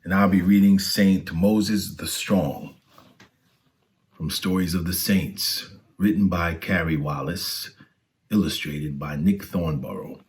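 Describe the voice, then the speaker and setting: A man's voice: deep voice, steady tone, slow. A man reading a children's storybook aloud as a scripted narration.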